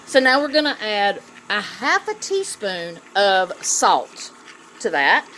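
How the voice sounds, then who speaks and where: A woman with a mid-pitched voice hosts a homemade cooking vlog. She chats casually and affectionately, speaking straight to her viewers. She is recorded on a phone in her kitchen, with light noise and clatter behind her. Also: big pitch swings, slow pace, pushed and bright. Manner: chatty, affectionate, addresses viewers directly. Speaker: home cooking vlog host. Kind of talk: casual chatty cooking demonstration. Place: home kitchen, indoors. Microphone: phone camera on the counter. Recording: noisy home kitchen with clattering dishes.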